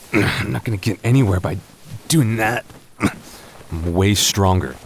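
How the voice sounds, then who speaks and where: A low, close and dry voice: a man playing a tipsy young male roommate in a boyfriend roleplay audio. He talks casually but stammers and gets defensive, hesitating as he hides his crush. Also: darkish tone, no echo, full character voice. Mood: playful protest.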